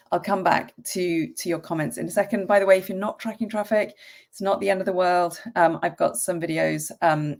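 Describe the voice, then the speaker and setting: A woman gives a chatty tutorial on a live stream, her voice croaky and gravelly from a cold. She speaks in a fairly low register and fills her talk with ums and the odd cough. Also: darkish tone. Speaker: website mentor hosting a live stream.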